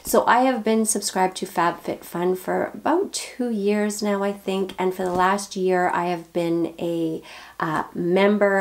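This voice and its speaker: A woman in her forties chats to the camera in an upbeat, casual way, her voice hoarse from the flu. Her speech is gravelly and sits a little low, with plenty of ums as she talks. She is recorded at home by a camera on the desk. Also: a little mellow. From Canada. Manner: chatty and upbeat, with frequent ums. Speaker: beauty vlogger. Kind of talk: casual unboxing chat to camera. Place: home beauty room with shelves behind. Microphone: camera at desk distance, head and shoulders framing.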